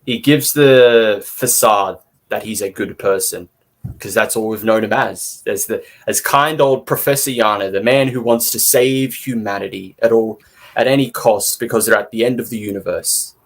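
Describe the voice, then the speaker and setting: A man's voice: lowish voice, moderate pace. A man co-hosting a podcast, giving his opinions in a casual review discussion.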